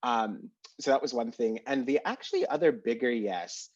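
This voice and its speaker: Man from Kenya as a panel guest, husky voice, earnest and reflective, on a dry dull video call. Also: medium pitch, expressive, moderate pace, no room sound. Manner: earnest, many "like" fillers. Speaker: alumni panelist. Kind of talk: candid reflective panel answer.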